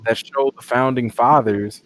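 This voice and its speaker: Animated man talking passionately. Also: measured pace, a little hiss or hum, low-quality recording. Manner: animated, passionate, jumps up in pitch for quoted voices. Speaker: interview guest, movie reviewer. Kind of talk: casual conversation.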